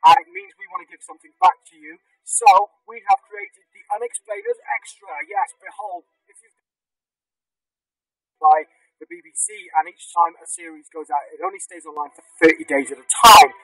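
High-pitched man through a robotic vocoder. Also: very animated, moderate pace, sharp tone, dry recording, low-quality recording. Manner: jokey, mock-dramatic. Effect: vocoder, synthetic robotic filter. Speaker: podcast host. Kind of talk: scripted promotional announcement.

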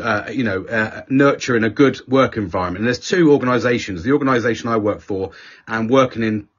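Husky guy rambling through a work story, swears a lot, pitch jumps all over, kinda muffled lo-fi sound. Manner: animated, sweary, acts out quoted people. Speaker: podcast host. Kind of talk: casual storytelling chat. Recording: dull lo-fi podcast audio.

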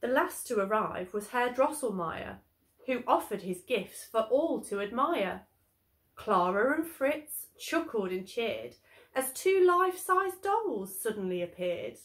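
A woman's voice: on the high side, big pitch swings, unhurried. A young woman on the school staff reading a storybook aloud to children at home. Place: home living room.

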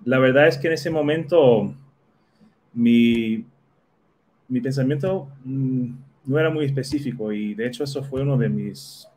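A man's voice: medium pitch, measured pace, darkish tone, a little hiss or hum. Thoughtful Dutch-born Portuguese man, second-language speaker. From Netherlands. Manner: thoughtful, with many fillers and self-repeats. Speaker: guest. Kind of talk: casual video-call interview. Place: small home office.

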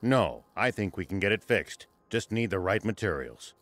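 An actor playing a grave, resolute elderly former general in a video game, measured, terse and commanding. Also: male voice, sits low, lively intonation, very noisy. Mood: grim, calm. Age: older.

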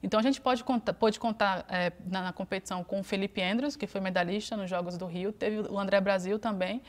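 A woman in her thirties from Brazil, a guest on a TV studio interview, speaking in a relaxed, reflective way with frequent fillers. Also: medium pitch, brisk. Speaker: interview guest, Paralympic swimmer.

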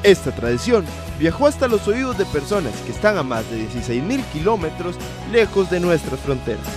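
A man's voice: sits high, very animated, brisk. A young man with a Costa Rican accent narrating a scripted television feature as a reporter. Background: background music.

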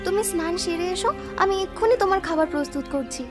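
A woman's voice: very high-pitched, fast, music in the background. A woman voicing dutiful female figures in a Bengali family cartoon. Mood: apologetic.

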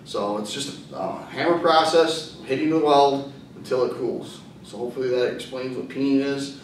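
A plain-spoken man in his thirties explaining things as an instructor in an informal whiteboard lesson. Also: moderate pace. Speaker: welding instructor and video host. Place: hard-walled indoor classroom with whiteboard. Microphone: far camera. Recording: echoey room, distant camera microphone, faint rumble.

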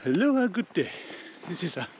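A man's voice: sits high, sing-song, fairly quick, dull audio. A man giving casual exploration vlog commentary, muttering short, off-hand remarks to himself. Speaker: urban explorer and vlogger. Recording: clearly noisy handheld camera audio.

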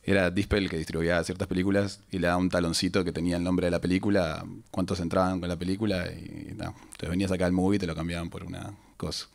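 A man's voice: deep voice, flat delivery, quick pace, creaky, hardly any pauses. A Uruguayan man, rambling through a self-deprecating anecdote at a cinema press conference, speaking into a stand mic. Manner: rambling, self-deprecating storyteller with many restarts. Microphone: handheld mic on a stand.

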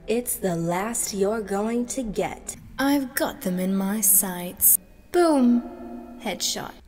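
Young woman rattling off character voices. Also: big-room sound. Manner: shifts between whispery, husky and squeaky put-on voices. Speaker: gaming video creator. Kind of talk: rapid-fire character impressions. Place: cluttered bedroom.

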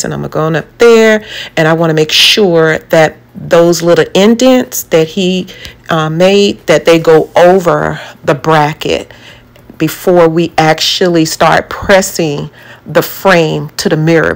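A woman, narrating her home renovation in a chatty, encouraging way and giving how-to tips. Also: fairly low pitch. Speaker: DIY home vlogger. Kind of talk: casual voice-over narration.

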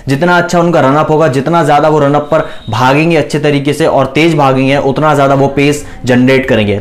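Young male coach, 20s, earnest explainer, close lapel mic, small room. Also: mid-range voice. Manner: earnest, explanatory, repeats key points. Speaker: fast bowling coach. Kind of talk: instructional video talking to camera.

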